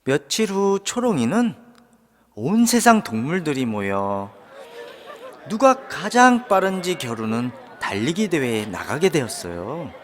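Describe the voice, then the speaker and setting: A man narrating a children's storybook in an expressive, drawn-out way. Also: fairly high pitch. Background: background music.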